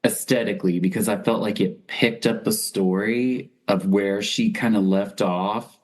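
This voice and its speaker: A man's voice: lowish voice, steady tone, measured pace. A man talking casually as a panelist on a podcast. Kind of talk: casual chat.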